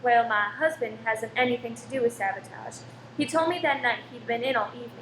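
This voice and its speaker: Woman acting a loyal feisty wife, high voice, radio drama, roomy echo. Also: brisk, lightly acted. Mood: defensive. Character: loyal, spirited wife working at a London cinema. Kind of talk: amateur radio play acting. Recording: roomy echo with faint noise.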